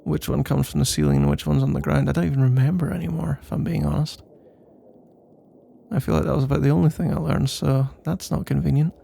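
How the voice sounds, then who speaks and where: Man, very gravelly fry, mellow tone, playful mock-boastful rambling, ASMR boyfriend roleplay. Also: mid-range voice, expressive, fast, slight background noise.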